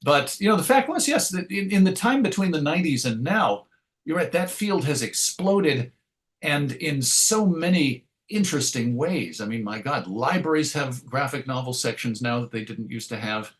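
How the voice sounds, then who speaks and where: A man's voice: a little high, expressive, moderate pace. A middle-aged man answering interview questions in a conversational way, reminiscing with wry, self-deprecating humor. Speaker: interview guest.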